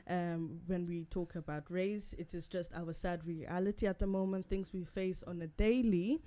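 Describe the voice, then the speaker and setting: Lively Swiss-raised woman hosting a podcast. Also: lowish voice. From Switzerland. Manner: lively, laughs often, quick questions. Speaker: podcast host. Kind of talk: casual group discussion. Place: indoor podcast recording.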